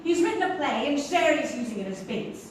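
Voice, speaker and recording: A woman playing a brisk, wry secretary in a stage comedy, with theatrical delivery. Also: high voice, very animated. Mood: worried. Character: brisk, wry, lovelorn secretary, loyal and scheming. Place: theatre stage before a live audience. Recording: echoey theatre recording from far off, faint noise.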